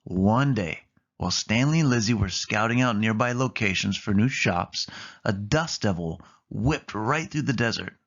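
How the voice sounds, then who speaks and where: A man's voice: lowish voice, expressive, moderate pace, a bit bright. A slightly muffled storybook recording of a friendly lady car acted out by a man. She is organized and reassuring, a natural bedtime storyteller. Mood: reassuring storytelling.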